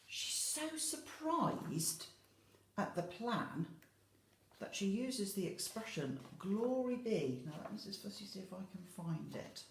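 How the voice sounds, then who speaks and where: A woman's voice: mid-range voice, very animated, takes it slowly, vocal fry, a little hiss or hum. A British woman, an infant school teacher, talks to young children about a picture book, stressing key words and often checking in with tag questions. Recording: roomy, echoey home-style recording.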